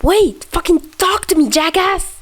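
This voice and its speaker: A woman voicing a hot-tempered, hurt teenage boy in a story reading, shouting sharply and confrontationally. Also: extremely high pitch, animated, measured pace, voice pushed up, full character voice. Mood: angry, hurt.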